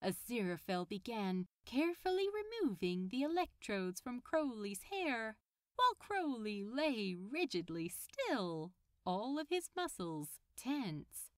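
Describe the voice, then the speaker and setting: A woman's voice: mid-range voice, very animated, slow, no echo, studio quality. A woman reading scripted fiction aloud as an audiobook narrator.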